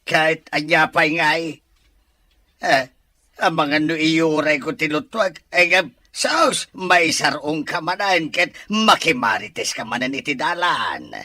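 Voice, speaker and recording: A man's voice: fairly high pitch, slow, sharp tone, dry recording, exaggerated voice. A man playing a suspicious, grumbling man of the household in an Ilocano audio drama, accusing, with an Ilocano accent. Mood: suspicious, annoyed.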